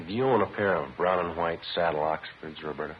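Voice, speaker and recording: Very deep, rapid-fire police detective played by a man. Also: lightly acted. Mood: deadpan, probing. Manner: clipped, deadpan, matter-of-fact. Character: terse, methodical male police detective interrogating a suspect. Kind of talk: 1950s radio crime drama acting. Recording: muffled, noisy old 1950s radio recording.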